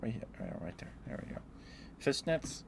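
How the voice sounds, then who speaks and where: A man chatting in a rambling, affectionate ASMR style to live viewers, speaking softly into a close microphone. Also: on the low side, big pitch swings, moderate pace. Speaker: ASMR livestreamer. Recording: clear background noise.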